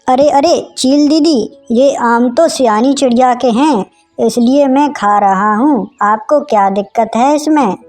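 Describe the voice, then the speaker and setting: A woman's voice: high voice, a little slow, a little mellow, soft background music. A woman voicing a brazen, quarrelsome male crow in a cartoon moral story, with a taunting, defiant manner. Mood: defensive, insolent.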